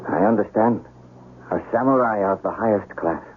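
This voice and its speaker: An actor playing an earnest, courtly young novice samurai in love, in a 1970s radio drama. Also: male voice, fairly low pitch, moderate pace, breathy edge. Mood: polite, curious. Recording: muffled vintage radio broadcast, faint noise.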